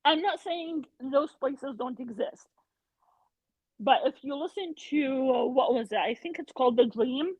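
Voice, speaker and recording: A woman from Iraq speaks as a podcast guest over a tinny, phone-like line. Her voice sits high and the sound is dry and close, and she talks in an animated way, with lots of ums and likes. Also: a little slow, slightly rough, dry recording. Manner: animated, frustrated, loud with many fillers. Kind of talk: casual conversation.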